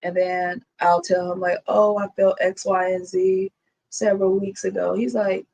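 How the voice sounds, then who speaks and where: A woman's voice: medium pitch, little pitch movement, moderate pace, creaky, slight background noise. A woman guest co-host on a podcast who speaks openly and thoughtfully in casual chat. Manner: open, candid, thoughtful, warm agreeing backchannels.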